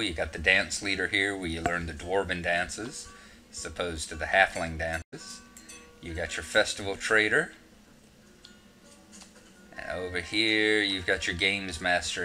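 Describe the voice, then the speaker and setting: A man with a Southern US accent giving casual, rambling gameplay commentary with self-mocking asides. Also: low voice. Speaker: game walkthrough narrator.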